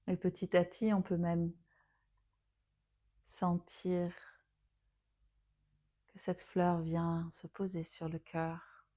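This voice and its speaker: Female meditation guide, lowish pitch, unhurried and soothing, phrases fading softly into breath. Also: flat delivery. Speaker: yoga nidra teacher.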